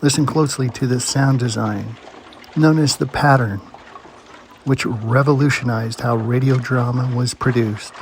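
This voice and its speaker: A man reads a scripted introduction in an even, explanatory way. His voice is mid-pitched. Faint noise sits in the background. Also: a little slow, rough recording. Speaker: introducer of old radio episodes. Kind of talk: scripted narration.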